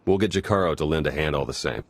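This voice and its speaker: A wry spy ally from a science-fiction game. His voice sits very low, and he talks casually and dryly, like an easygoing tech expert on a mission. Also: fast talker, no room sound. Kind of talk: video game voice acting.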